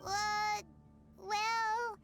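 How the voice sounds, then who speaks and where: An actress voicing a tiny, childlike floating fairy companion in an anime video game, chirpy and blunt. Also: female voice, extremely high pitch, flat delivery, drawn-out words, exaggerated voice. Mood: puzzled. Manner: speaks of self in third person. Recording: loud tinkling game music behind.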